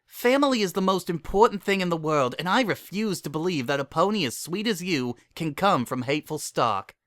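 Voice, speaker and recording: A man's voice: on the high side, animated, fairly quick, fairly bright tone, no echo, voice pushed up. A man voicing a fretful, sensible female pony fiancée with an earnest manner in a story reading. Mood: indignant. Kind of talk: dramatic reading of fan fiction.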